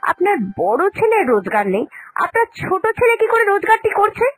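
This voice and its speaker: Woman as a high-pitched, nagging daughter-in-law. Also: very animated, a little fast, no room sound, low-quality recording. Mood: aggrieved. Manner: whining, complaining. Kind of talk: cartoon voice acting.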